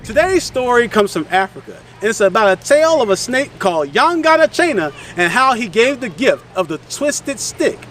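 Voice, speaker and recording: A man's voice: very high voice, big pitch swings, normal speed, few pauses, a little hiss or hum. A man hosting and telling a children's story in a theatrical, singsong delivery. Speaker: storyteller, host. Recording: slightly distant, under loud music. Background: music.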